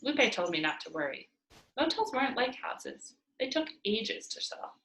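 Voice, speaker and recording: A woman's voice: a little high, sing-song, moderate pace, pushed and bright. A woman reading a children's novel aloud expressively, as a storyteller at home. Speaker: read-aloud narrator. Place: indoors at home by a fireplace. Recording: roomy home recording.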